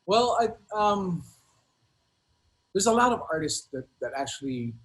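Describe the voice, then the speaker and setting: A man speaking casually as a music interview guest, in halting, repetitive phrases with many filler words. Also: sits high, sing-song, rough recording. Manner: halting, repetitive phrasing with frequent "you know". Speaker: guest hip hop artist.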